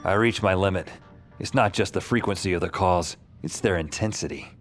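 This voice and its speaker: An actor playing a haunted, wry male narrator in audio fiction, with a deadpan, weary, confessional delivery. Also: sits low, fairly quick, natural voice. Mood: brooding. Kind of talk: first-person noir-like audio fiction narration. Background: music under the voice.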